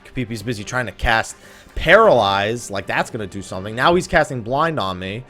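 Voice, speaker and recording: A man's voice: on the low side, lively intonation, brisk, bright, forceful tone, soft background music. A man giving casual let's play commentary on a video game, swearing and griping with sarcasm. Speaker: host of a gaming let's play series.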